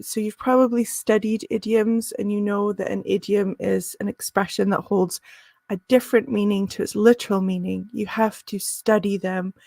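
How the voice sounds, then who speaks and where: A woman's voice: medium pitch, vocal fry, a little mellow, no room sound. A woman teaching a live business English lesson, friendly and encouraging, with frequent "um" and "uh" fillers.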